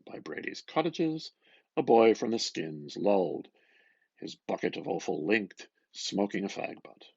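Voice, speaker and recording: Husky man reading aloud with swooping expression. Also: on the low side, sing-song, unhurried, no echo, highs cut off. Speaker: reader, artist. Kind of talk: dramatic literary reading aloud.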